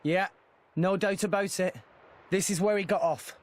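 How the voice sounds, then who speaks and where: An actor playing an earnest, plucky teenage boy with a brisk, colloquial manner in a video game cutscene. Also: high voice, fairly even intonation, natural voice. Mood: determined.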